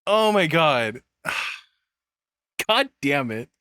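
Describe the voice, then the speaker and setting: A man's voice: fairly high pitch, big pitch swings, drawn-out words, bright, forceful tone, clear, resonant tone, no echo. A man giving casual, blunt reaction commentary as a streamer, swearing freely, on a close mic.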